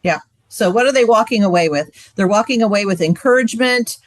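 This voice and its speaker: A woman's voice: medium pitch, a little fast, dry recording, poor audio. A woman co-presenting informally in a conversational way, posing questions and then answering them. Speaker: co-presenter in marriage ministry.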